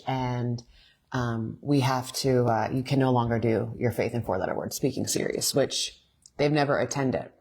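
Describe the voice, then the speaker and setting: A woman, co-hosting a morning talk show and telling animated stories. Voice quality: deep voice. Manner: animated storyteller, restarts sentences. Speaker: co-host. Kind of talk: casual morning show chat.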